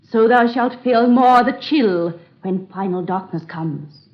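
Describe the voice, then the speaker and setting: A woman's voice: on the high side, slow. An actress playing a haughty, bored and cruel queen of ancient Egypt, in 1930s radio drama style with archaic, imperious diction. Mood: sultry. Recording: dull old radio recording.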